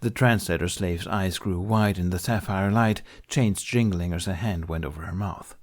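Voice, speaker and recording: A man's voice: sits low, normal speed. A man narrating scripted fiction as an audiobook reader.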